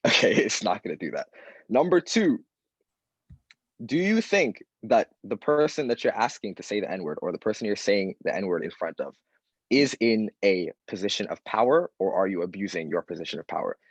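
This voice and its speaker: A young man on an open mic panel, speaking earnestly and directly. His voice sits low and a little husky, and the sound is dry and close. He drops into lively voices when he quotes people. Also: no room sound, lo-fi sound. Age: young adult.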